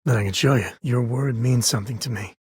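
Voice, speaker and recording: A middle-aged space cowboy from a video game, husky and a little raspy. He talks in a folksy, wry, conversational way with a good heart underneath. The voice sits at a medium pitch and is recorded very dry and close. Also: quick pace, no echo. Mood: wry, teasing. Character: wry, warm-hearted spacefaring cowboy, a former ranger and father. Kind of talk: naturalistic video game character dialogue.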